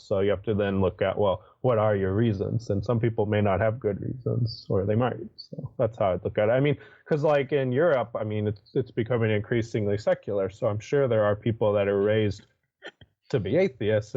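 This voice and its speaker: A man appearing as a guest in a debate, thinking aloud hesitantly with many pauses and restarts. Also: fairly low pitch, dark tone, dull audio. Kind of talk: casual debate conversation.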